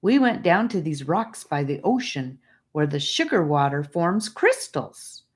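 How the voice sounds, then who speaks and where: Lady doing a bragging little boy for an audiobook, excited and teasing, pitch bouncing around. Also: unhurried. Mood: boastful. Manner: animated, bragging. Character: animated boastful young boy, teasing and sarcastic. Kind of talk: audiobook character voice.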